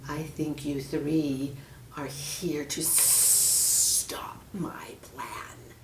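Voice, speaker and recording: A woman voicing a sly, menacing male snake in a storybook, falsely caring, with a hushed, breathy hiss and drawn-out delivery. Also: low voice, flat delivery, echoey room, in a whisper, recorded from a distance, low-quality recording. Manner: slow, drawn-out, sinister delivery.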